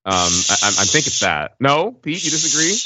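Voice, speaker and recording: A man's voice: mid-range voice, sing-song, moderate pace, sharp tone, dry recording. An American man from Alabama on a podcast panel, chatting casually and explaining things with conversational asides. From Alabama, United States. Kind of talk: casual chat, anecdotal explaining. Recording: slightly dull podcast audio.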